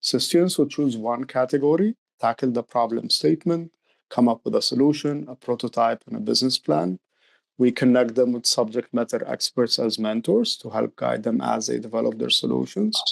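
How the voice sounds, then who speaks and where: Middle aged guy, bit gravelly, explaining stuff earnestly in an interview. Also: mid-range voice, normal speed. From Egypt. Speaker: youth program director, interview guest.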